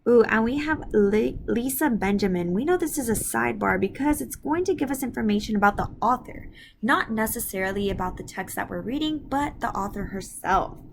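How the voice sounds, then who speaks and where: A woman's voice: fairly high pitch, expressive, a little fast, creaky, a little hiss or hum. A woman teacher leading a read-aloud reading lesson for children, explaining things step by step in an instructive way. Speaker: elementary school teacher.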